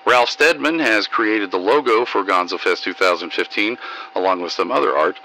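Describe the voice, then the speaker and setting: Forceful low-voiced male host reading. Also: moderate pace, slight background noise, rough recording. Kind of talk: scripted news narration and poem reading.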